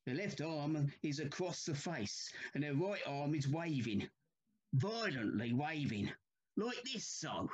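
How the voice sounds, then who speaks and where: A man playing a troubled railway signalman in an audio drama, haunted and deferential, speaking haltingly. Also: a little high, animated, husky, muffled recording, exaggerated voice. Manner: slow, halting, deferential, uneasy.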